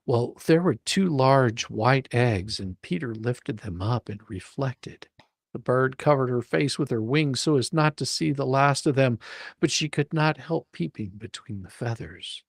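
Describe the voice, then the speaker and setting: Man, expressive storybook narrator, playful, theatrical, close dry recording. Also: mid-range voice, a little slow, gravelly, husky, no echo. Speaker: reader and host of a live dramatic reading. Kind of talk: dramatic storybook narration with casual asides.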